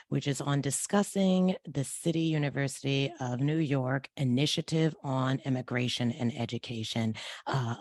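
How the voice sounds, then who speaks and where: Host, mid pitch, dry close mic, measured delivery. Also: female voice, darkish tone, no room sound. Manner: measured, introduces questions with fillers. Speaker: podcast host. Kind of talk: podcast interview hosting.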